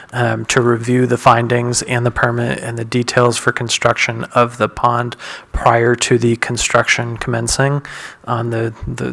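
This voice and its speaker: A man's voice: mid-range voice, creaky, fairly bright tone. A man chairing a town planning board, explaining permit conditions in a precise, procedural way. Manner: precise, procedural, with frequent restarts. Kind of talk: procedural explanation at a public meeting. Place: town hall meeting room.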